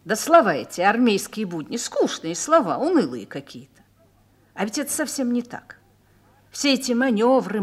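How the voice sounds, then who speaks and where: A mature army wife in a radio play. Her voice is slightly high, reflective and confiding. She tells her memories with reassuring conviction. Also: breathy edge. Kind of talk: Russian radio drama acting.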